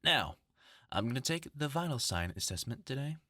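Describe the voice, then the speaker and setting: A man's voice: mid-range voice, sing-song, normal speed, pushed and bright, slightly rough, dry recording, studio quality, full character voice. A man playing a vengeful test subject turned mock doctor in a horror audio roleplay, commanding, sardonic and mock-clinical. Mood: mock-clinical menace.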